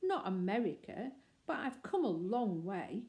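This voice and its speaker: A woman's voice: medium pitch, big pitch swings, unhurried, darkish tone. A woman reading aloud voices a small, polite young male bear who speaks innocently and truthfully. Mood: earnest. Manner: innocent, truthful and matter-of-fact.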